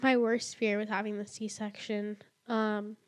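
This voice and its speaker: A woman's voice: mid-range voice, level tone. A woman in her early twenties, a podcast guest, talking about faith and motherhood in a casual way, with lots of "like" fillers and easy laughter. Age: early 20s. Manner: chatty, many "like" fillers, quick to laugh.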